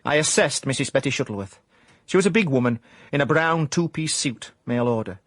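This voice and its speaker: A man with a South Yorkshire accent playing a self-important amateur private detective, deadpan and pompous, in a comic radio drama. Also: mid-range voice, brisk, dry recording, studio quality. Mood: deadpan brooding. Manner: deadpan, pompous hard-boiled narration. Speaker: lead actor and narrator.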